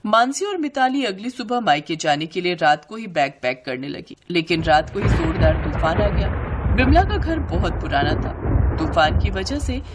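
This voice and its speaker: A woman's voice: lowish voice, very animated, normal speed, very noisy. A woman delivering scripted story narration as the storyteller.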